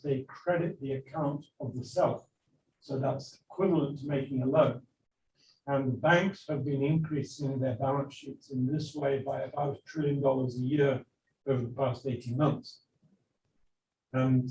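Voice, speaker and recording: A man speaking at a conference, explaining figures in a measured, precise way. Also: mid-range voice, a little mellow, a little hiss or hum. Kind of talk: explaining monetary data. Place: conference hall. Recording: echoey hall, voice from far off.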